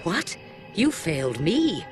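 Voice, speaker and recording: An indignant chosen woman of legend acted out by a male storyteller, in a raised, high voice. She sounds wronged and outraged, in a video game tale with music underneath. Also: wildly swooping pitch, slow pace, heavily acted.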